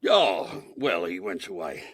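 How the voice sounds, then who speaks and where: Sinister barber, husky worn voice, swooping delivery, servile and irritable, period radio play. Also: male voice, low voice, very animated, a little slow, heavily acted. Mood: smooth, fawning. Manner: servile to gentlemen, snappish to his apprentice. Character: shifty, irritable barber and villain, falsely fawning to customers. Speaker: actor. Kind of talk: period audio drama acting.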